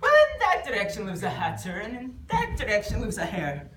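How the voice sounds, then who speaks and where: A woman's voice: mid-range voice, wildly swooping pitch, a little fast. A woman playing a teasing, mad, grinning cat in an amateur readers theater show. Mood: playful, airy. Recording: echoey room, far mic, faint noise.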